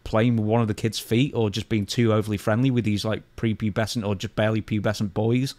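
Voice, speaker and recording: British man, lowish voice, podcast co-host, blunt slangy chat, reading out stories, very dry sound. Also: level tone, fast talker, a little mellow, no room sound.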